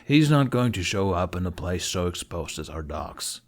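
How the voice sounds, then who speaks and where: A man's voice: sits low, very animated, normal speed. A man voicing a stern, weary middle-aged Viking chief, curt and decisive, in a fantasy audiobook. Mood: commanding, impatient.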